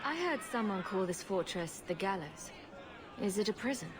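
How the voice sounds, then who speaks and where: A woman's voice: medium pitch, animated, moderate pace, crowd noise, noisy background, natural voice. A woman playing a composed, direct young refugee adventurer in a fantasy video game. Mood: inquiring.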